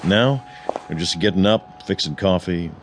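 Low-voiced easygoing city cop. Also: male voice, a little slow, noisy background. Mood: chatty. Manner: laconic, colloquial. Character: easygoing, chatty male city police officer. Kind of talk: radio drama acting. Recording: radio drama with music behind.

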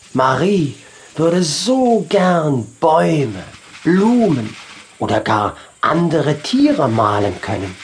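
A man narrating a children's audiobook in an expressive storytelling style. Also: medium pitch, slight background noise.

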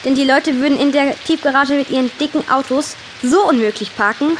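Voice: high-pitched, brisk, slight background noise, lightly acted. A performer playing a cheeky, sarcastic country girl in a children's audio drama, with a wry delivery. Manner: wry, mock-formal at times.

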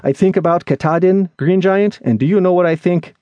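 A man voicing an excitable, weary and earnest male hiker friend in dramatic, pleading audiobook dialogue. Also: high voice, fairly quick, no room sound, dull audio, pitched above the performer's own voice, natural voice. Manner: exclamatory, pleading, questioning. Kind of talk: acted dialogue in audiobook memoir.

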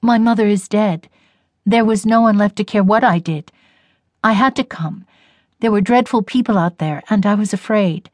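A woman voicing a grave, weary young woman who speaks earnestly and quietly. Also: medium pitch, moderate pace, dry recording, clean studio sound, lightly acted.

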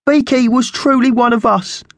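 A man's voice: very high-pitched, steady tone, moderate pace, dry recording, voice pushed up. A man voicing a scrawny magpie henchman in a children's storybook, a squawky and mock-solemn gang underling. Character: thin scrawny male magpie henchman, nasty yet sentimental.

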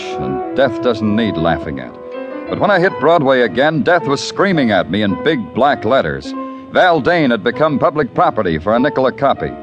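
An actor playing a hard-boiled police lieutenant who narrates a 1950s radio crime drama, with a dry, wisecracking delivery. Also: male voice, mid-range voice, highs cut off, natural voice. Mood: wry narration. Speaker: narrator and lead.

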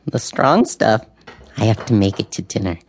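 An actress playing a sharp, gossipy old woman in an audio drama, with a gravelly voice and a knowing, dryly opinionated way of talking. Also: extremely low pitch, expressive, moderate pace, exaggerated voice. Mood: dry, reminiscing. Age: older.

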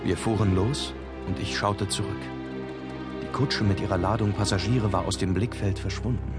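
A man narrating a horror audiobook in the first person, measured and suspenseful. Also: lowish voice. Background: prominent music.

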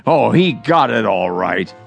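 Bitter old rest-home grouch, gravelly, slow, muttering complaints, radio drama. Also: male voice, fairly low pitch, exaggerated voice. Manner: grumbling, muttering, repeats himself.

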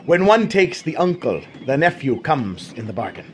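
An actor playing a rough, cheeky sailor who insists on joining a ship, talking in a lively, good-humoured way. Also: male voice, fairly high pitch, normal speed, slight background noise. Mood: insistent. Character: bold rough male sailor, persistent and cheeky. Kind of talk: radio drama acting.

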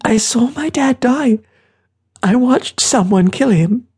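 A narrator puts on a ten-year-old boy for an audiobook, pitched far above the narration and breathy. He is naive and sulky, protesting plaintively, with fear and grief underneath. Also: normal speed, a little mellow. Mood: tearful, scared.

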